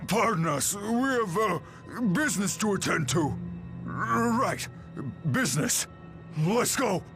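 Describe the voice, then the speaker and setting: An actor playing a shifty, easily flustered thug with a blustering manner in a video game drama. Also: male voice, high-pitched, lively intonation, slow, music in the background, heavily acted. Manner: blustering, stammering.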